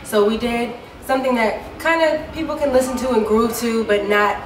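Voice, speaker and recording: A woman singer giving a casual interview, talking in a deep, low speaking voice with many easygoing fillers. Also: normal speed. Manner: relaxed and chatty, many fillers like "um" and "you know". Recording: echoey room, mic far off, clear background noise.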